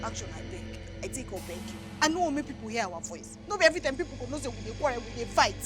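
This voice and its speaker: A woman's voice: extremely high pitch, animated, a little fast. A woman doing a pleading Nigerian wife. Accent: Nigerian Pidgin English. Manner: pleading, hushed, insistent. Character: pleading wife, worn down, asking husband for money. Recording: background music under the voice.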